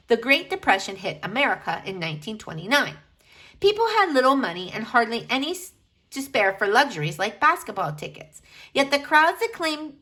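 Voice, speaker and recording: Animated, bright-voiced woman reading to children. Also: on the high side. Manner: animated, emphatic phrasing with drawn-out words for young listeners. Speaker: storyteller reading a picture book aloud. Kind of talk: expressive children's read-aloud.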